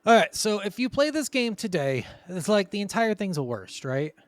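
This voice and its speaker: A man's voice: high voice, big pitch swings, moderate pace, no echo, studio quality. A man hosting a gaming podcast, telling stories in an informal, conversational way and swearing freely. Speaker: podcast host. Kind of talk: casual podcast storytelling about video games.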